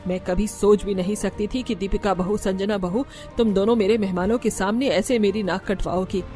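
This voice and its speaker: A woman's voice: medium pitch, steady tone, moderate pace, warm tone, soft background music, lightly acted. A woman voicing a stern middle-aged mother-in-law in a cartoon, firm and authoritative. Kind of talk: cartoon voice acting.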